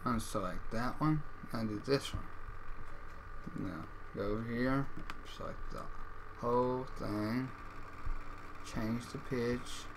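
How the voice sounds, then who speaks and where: Male tutorial presenter, unhurried and offhand, casual step-by-step delivery, noisy home mic. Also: medium pitch. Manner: offhand, terse step-by-step instructions. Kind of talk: casual screen-recorded tutorial. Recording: noisy home microphone screen recording.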